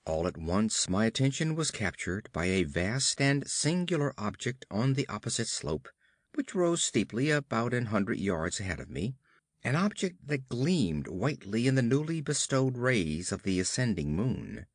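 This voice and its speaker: A man's voice: medium pitch, sing-song, normal speed, no room sound, clean studio sound. A man reading a horror story as an audiobook narrator, with formal, theatrical emphasis and dramatic delivery.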